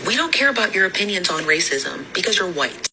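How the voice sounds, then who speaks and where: A woman's voice: lowish voice, big pitch swings, fairly quick, noisy background. A woman content creator speaking in a lecturing, accusatory way in a clip from her own video. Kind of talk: clipped video callout.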